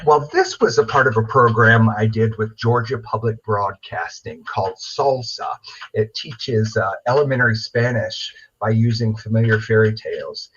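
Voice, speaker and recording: A man's voice: fairly low pitch, moderate pace, fairly bright tone, a little hiss or hum. A middle-aged man chatting casually and enthusiastically as an interview guest, rambling a little over a video call. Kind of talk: casual chat. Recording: dull, lo-fi video call audio.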